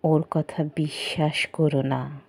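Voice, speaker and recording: A woman as an eerie, hushed, slow phantom voice. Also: low voice, little pitch movement, vocal fry, background noise, voice pushed down, heavily acted. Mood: ominous warning. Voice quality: hushed, whispery. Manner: slow, menacing warnings. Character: eerie whispering unseen voice behind a door, warning darkly.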